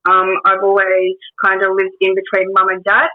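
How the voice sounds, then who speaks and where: A woman in her twenties with an Australian accent speaks as an interview guest, her pitch sitting in an ordinary middle range. She talks in a halting way, full of pauses and restarts. Her voice comes through a narrow, tinny line that sounds like a phone call. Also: monotone. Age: 20s. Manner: hesitant, many ums and restarts. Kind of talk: casual interview storytelling.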